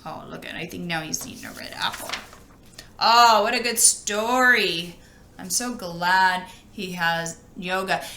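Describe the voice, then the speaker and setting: A woman reading a children's storybook aloud like a teacher, expressive and sing-song on the rhymes. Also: lowish voice, measured pace, slight background noise.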